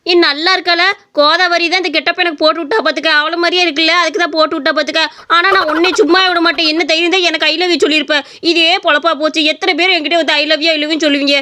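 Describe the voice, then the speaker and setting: Woman doing a shrill, vain, melodramatic old mother. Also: fairly even intonation, fast talker, few pauses, noisy background. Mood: self-pitying. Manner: dramatic, complaining. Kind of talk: comic village skit acting.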